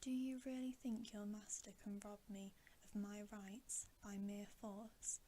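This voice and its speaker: A woman's voice: medium pitch, level tone, slow, warm tone, slight background noise, close to an everyday voice. A woman voicing a proud, menacing witch queen in a whispered ASMR bedtime story. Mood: scornful.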